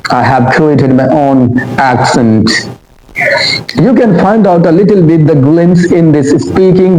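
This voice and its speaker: A man with an Indian English accent, an English teacher giving an emphatic, repetitive motivational pitch for his own institute. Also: mellow, a little hiss or hum. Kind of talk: motivational promo talk to camera. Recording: roomy echo.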